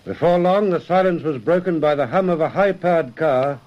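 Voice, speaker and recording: A man's voice: on the high side, moderate pace, highs cut off, natural voice. A man narrating a detective radio serial in a formal, descriptive storytelling manner. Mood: grave, scene-setting. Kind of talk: scripted radio drama narration.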